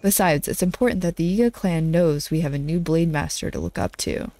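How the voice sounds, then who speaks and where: A congested, nasal-sounding woman voicing a gruff male clan blademaster in a video game, reading his lines in a lowered, measured way. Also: dark tone, dry recording, soft background music, close to an everyday voice. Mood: proud.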